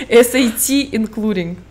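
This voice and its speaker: A young woman from the Urals co-hosting a casual podcast, animated and loud at times, dropping English phrases into her talk. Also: a little high. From Russia (Urals). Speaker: podcast co-host. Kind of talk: casual chat.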